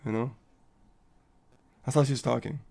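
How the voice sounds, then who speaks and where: A man's voice: mid-range voice, fairly even intonation, unhurried, creaky, darkish tone. A man co-hosting a casual live coaching stream, upbeat and motivational, laughing often and reading viewer comments aloud.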